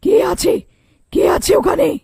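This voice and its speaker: A woman voicing a hungry, menacing old witch in a cartoon, with a croaking voice and taunting manner. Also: unhurried, darkish tone, no echo, studio quality, natural voice. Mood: suspicious. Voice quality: creaky, croaking. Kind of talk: cartoon villain voice acting.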